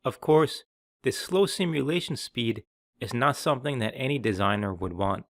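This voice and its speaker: A man presenting a scripted software tutorial, explaining in a clear, orderly way. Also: medium pitch, normal speed, no echo, clean studio sound. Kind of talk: scripted instructional narration.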